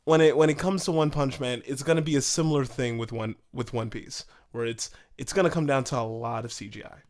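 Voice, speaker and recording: A man co-hosting a podcast, chatting casually and a little hesitantly, repeating phrases as he gives his view. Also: mid-range voice. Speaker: podcast co-host. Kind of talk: casual chat.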